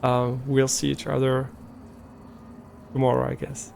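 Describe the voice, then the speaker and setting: A young man from Germany chats to his stream in English with a clear German accent, his voice gravelly and sitting at an ordinary pitch. He talks loosely, hesitating, trailing off and restarting his sentences. A faint hiss of noise sits under the recording. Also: completely flat delivery, measured pace, a little mellow. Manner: rambling, hesitant, many fillers and restarts. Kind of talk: casual live stream chat.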